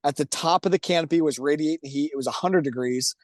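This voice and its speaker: A man's voice: on the high side, quick pace, no room sound, clean studio sound. A man hosting a webinar who jumps in with enthusiastic comments, talking casually with restarts and fillers. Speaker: webinar host.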